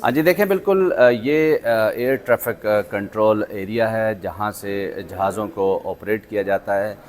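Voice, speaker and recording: A male journalist with a Pakistani accent reports and interviews on location. His voice sits a little low, and he speaks haltingly, with frequent hesitations as he builds his sentences. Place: on location in an air traffic control centre.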